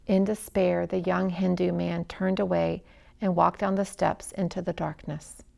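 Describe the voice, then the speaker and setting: A woman reading a story aloud as scripted narration, in an expressive, dramatic way with hushed phrase endings. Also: lowish voice, a little slow, gravelly.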